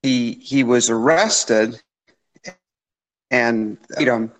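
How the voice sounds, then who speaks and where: A man's voice: mid-range voice, drawn-out words, poor audio. A man in a church discussion who talks bluntly, with stumbling restarts. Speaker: group member. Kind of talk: casual discussion.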